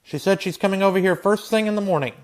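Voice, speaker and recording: A cheery male pilot brother in a radio play, as a man acts him. His voice sits high for a man, breezy and chummy in delivery. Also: fast, natural voice. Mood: helpful. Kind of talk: radio drama acting.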